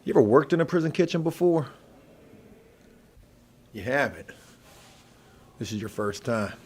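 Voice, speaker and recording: A man playing a middle-aged veteran inmate who bosses the prison kitchen, in an ASMR role play with a gravelly, husky voice and a folksy, intimidating manner. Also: mid-range voice, expressive, darkish tone. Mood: stern, bossy. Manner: folksy, intimidating, drawn-out menacing delivery. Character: gruff, bossy veteran male prison inmate running the kitchen.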